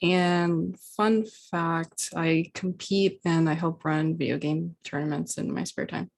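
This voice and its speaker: Young woman w a deep for female voice, lots of vocal fry, casual panel intro. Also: level tone, slow pace. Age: young adult. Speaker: student panelist. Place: video call.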